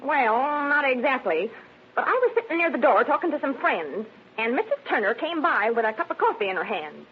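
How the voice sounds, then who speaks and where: An actress playing a plain-spoken, stubborn country housewife in an old radio drama, folksy and complaining. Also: female voice, high voice, big pitch swings, fairly quick. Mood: put out, wary. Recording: dull, noisy vintage radio recording.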